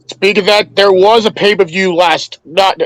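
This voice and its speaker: High-voiced man chatting casually, dry sound. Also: fairly even intonation, unhurried, fairly bright tone, no room sound, low-quality recording. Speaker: podcast panelist. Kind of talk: casual chat.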